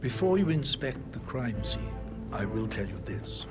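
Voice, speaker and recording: An actor playing a discreet, deferential English butler in a game, measured, grave and very formal. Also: male voice, medium pitch, big pitch swings, over music.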